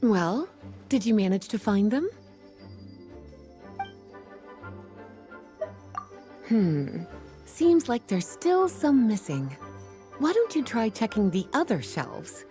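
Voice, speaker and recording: A woman playing a languid, knowing young librarian in a video game, with a coy, teasing, unhurried drawl. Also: a little high, very animated, dark tone, soft background music. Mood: amused, knowing.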